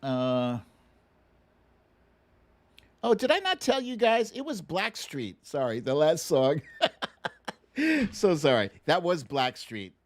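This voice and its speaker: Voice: mid-range voice, big pitch swings, takes it slowly, long pauses. An American livestream host chatting casually and reading out viewer names in a playful, self-deprecating way during a song guessing game.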